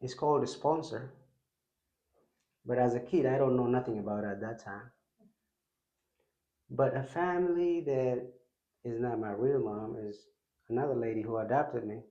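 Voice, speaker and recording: Man with mellow voice telling his story. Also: medium pitch, echoey room, highs cut off. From Ethiopia, raised in Kenya. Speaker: interview guest.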